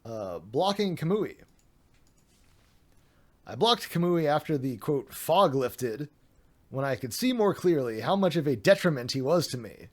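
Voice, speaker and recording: A man's voice: fairly high pitch, very animated, measured pace, long pauses. A man streaming, reading a document aloud with sarcastic, mocking asides, his voice getting a little worn.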